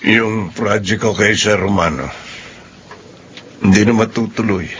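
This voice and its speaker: Low-voiced, earnest engineer in muffled drama. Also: male voice, a little slow, fairly bright tone. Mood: hurt, sorrowful. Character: Adolfo, hardworking male engineer, hurt by betrayal. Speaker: radio drama actor. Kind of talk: Filipino radio drama acting. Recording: dull lo-fi audio with faint noise.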